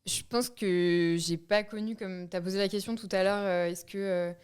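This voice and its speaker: A woman's voice: medium pitch, steady tone, moderate pace, smooth. A young woman telling a story as a podcast guest, hesitant with frequent fillers. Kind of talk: casual storytelling.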